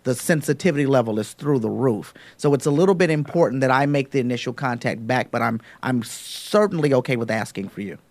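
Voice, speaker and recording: A man's voice: medium pitch, brisk, few pauses, dry recording. A man making an improvised prank phone call, talking in a mock-serious, rambling way. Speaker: prank caller, radio show host.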